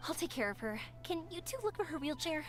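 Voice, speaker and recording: A young woman streamer reading game dialogue aloud, gentle when reading, loud in her reactions. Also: high-pitched, big pitch swings, fast, loud music behind the voice.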